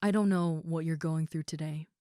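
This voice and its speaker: A woman playing a big, caring female ogre roommate in an intimate comfort roleplay, speaking in a soothing, earnest way with pauses and soft moments. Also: low voice, steady tone, dry recording, studio quality, lightly acted. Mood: tender, sincere. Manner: soothing, earnest, hushed at times, with pauses and sighs. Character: gentle, caring seven-foot-six muscular female ogre roommate.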